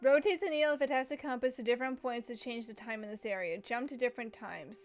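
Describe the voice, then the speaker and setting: A woman with a high voice thinks aloud as she plays a game. Her commentary is casual and rambling, full of reactions, with faint music behind her. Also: fast. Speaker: gamer streaming a playthrough.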